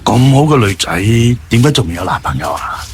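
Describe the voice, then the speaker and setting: A man acting an elderly, weary and tender boss in a radio drama, speaking gently and reflectively. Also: low voice, sing-song, fast, a little hiss or hum. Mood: warm, affectionate. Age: older. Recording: background music under the voice.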